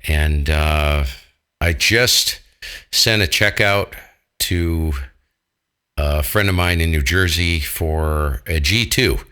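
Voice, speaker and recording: American ham radio guy, super deep but punchy bright voice, jokey casual blunt talk. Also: slow pace, smooth. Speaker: amateur radio operator, channel host.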